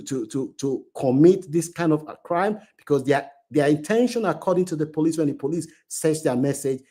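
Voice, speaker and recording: A male channel host with a voice pitched a little above average. He delivers moralising story commentary straight to his viewers. His phrasing is emphatic, often repeating itself to drive a point home. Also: dark tone.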